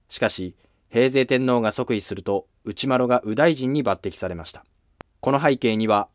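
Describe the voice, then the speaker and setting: A man delivering scripted historical narration in a measured, explanatory manner. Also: medium pitch, no room sound, studio quality. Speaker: narrator of a history drama series.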